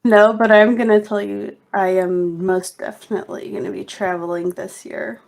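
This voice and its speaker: A woman's voice: mid-range voice, little pitch movement, moderate pace, darkish tone. A woman in her twenties chatting casually as a streamer, blunt and informal. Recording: clear background noise, clicks.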